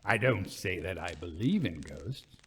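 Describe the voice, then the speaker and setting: Man as a haunted British officer, forties, grave, measured delivery, ghost story. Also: deep voice, big pitch swings, vocal fry, fairly bright tone, husky, pitched below the performer's own voice, heavily acted. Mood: grave, reluctant. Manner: slow, grave, deliberate.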